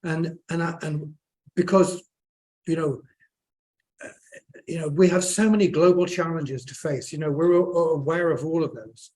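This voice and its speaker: A man's voice: on the high side, normal speed, pauses often, a little mellow. A man, speaking at a panel as a plant scientist, hesitant and full of fillers and restarts. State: croaky voice. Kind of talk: unscripted panel remarks.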